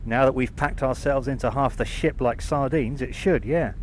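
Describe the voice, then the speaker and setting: Casting: actor as blunt, matey male engineer, mid-pitched, sci-fi radio play, faint ambience behind. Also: moderate pace, lightly acted. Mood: friendly, reassuring. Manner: informal, matter-of-fact. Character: brisk, friendly, blunt male starship engineer lieutenant. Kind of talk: science fiction fan audio drama acting. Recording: background noise, room ambience.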